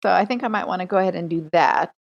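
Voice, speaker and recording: A woman's voice: on the low side, racing, gravelly, muffled recording. A woman playing a tabletop game, chatting casually as she questions and thinks her options through aloud. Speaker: player in a tabletop RPG.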